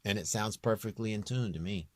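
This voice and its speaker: A man with a very low, near-bass voice giving a casual, off-the-cuff software walkthrough in a rambling, plain-spoken, self-deprecating way. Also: a little fast, very noisy. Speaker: home-recording singer giving a tutorial.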